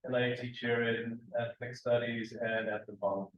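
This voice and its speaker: A man's voice: mid-range voice, level tone, moderate pace. A man from Hawaii who teaches ethnic studies, introducing himself hesitantly with many uhs. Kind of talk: self-introduction. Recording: tinny phone-like sound, echoey and far off.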